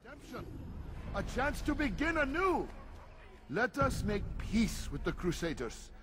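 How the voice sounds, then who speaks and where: A man's voice: very high-pitched, very animated, noisy background, exaggerated voice. A man playing a fervent public orator in a video game, giving a rousing, declamatory speech. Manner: rousing, deliberate. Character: fervent male public orator urging peace. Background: music.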